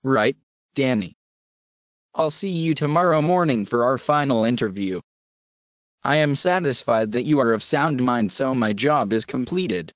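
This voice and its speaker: A man voicing a stern, skeptical male counselor who speaks in a brisk, matter-of-fact way. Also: medium pitch, lively intonation, no room sound, natural voice.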